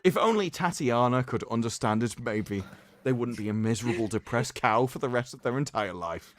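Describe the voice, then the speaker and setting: A man's voice: medium pitch, expressive, fairly quick. A man hosting a stream, giving a sarcastic, emphatic dramatic reading with mocking commentary. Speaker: streamer host.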